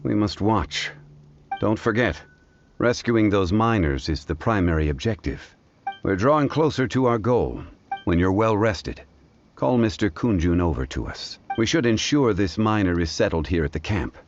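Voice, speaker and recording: A man playing a composed, scholarly gentleman in a video game, speaking formally with grave, measured authority. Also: lowish voice, animated, slight background noise. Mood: measured, authoritative. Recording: dull game audio with music underneath.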